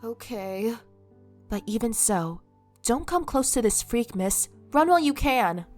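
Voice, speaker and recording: Young woman for audio drama, acted by a woman: fairly high voice, blunt, protective, scolding, music behind. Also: very animated, measured pace, a little mellow. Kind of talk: horror-comedy audio drama acting.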